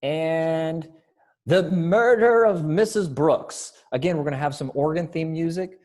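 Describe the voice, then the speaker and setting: A man directing a readers theater rehearsal over a video call, talking casually in halting, hesitant phrases. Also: on the high side, darkish tone.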